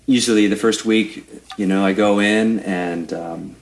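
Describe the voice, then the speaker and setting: A man's voice: fairly low pitch, steady tone, unhurried, creaky, poor audio. A middle-aged man talking as a guest musician in a casual conversation, in a thoughtful, meandering way that often trails off.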